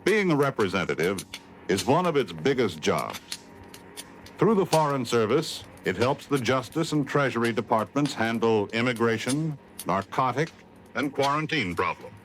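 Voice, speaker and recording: Bright, lively American radio announcer man. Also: medium pitch, normal speed, slight background noise. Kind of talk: 1950s radio announcement, scripted narration.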